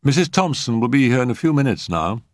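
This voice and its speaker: A man's voice: medium pitch, big pitch swings, fast talker, no room sound, pitched above the performer's own voice, natural voice. A man voicing a nervous, pleading society hostess in a storybook, hesitant and begging. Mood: anxious, pleading.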